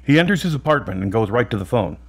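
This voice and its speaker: A man with a husky voice reading scripted narration for an audio drama. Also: mid-range voice, big pitch swings, fast talker, heavy background noise.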